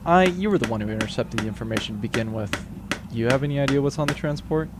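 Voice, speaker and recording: A woman's voice: rumbling low, fast, very noisy. A woman playing a blunt, sceptical spaceship crew member in a comic audio drama.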